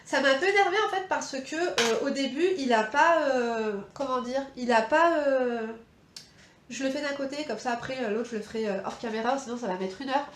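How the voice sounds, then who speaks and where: A woman's voice: high voice, a little fast, roomy sound, slight background noise. A woman with a French accent chatting casually through a makeup tutorial, rambling with lots of fillers and slangy asides. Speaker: beauty vlogger. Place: indoor room at home.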